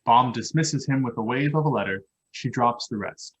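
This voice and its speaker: A man's voice: medium pitch, normal speed. A man reading out stage directions as scripted narration at a play table read.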